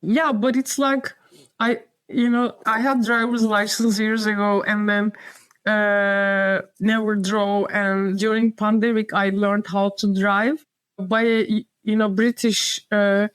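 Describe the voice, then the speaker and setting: A woman's voice: medium pitch, flat delivery, slow, clean tone, no room sound. A woman with a Turkish accent, a designer and publisher talking as an interview guest, hesitant and circling back to her point. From Turkey. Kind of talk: casual interview conversation.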